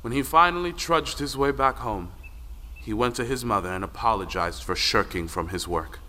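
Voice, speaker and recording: A man's voice: mid-range voice, normal speed, pushed and bright, lightly acted. A man narrating a folktale audio play as a dramatic storyteller. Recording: long reverb, music underneath.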